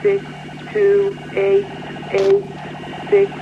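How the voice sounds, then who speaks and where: A woman announcer reading strings of numbers in a flat, halting, digit-by-digit way over shortwave radio. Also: very high voice. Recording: noisy tinny archive shortwave radio recording.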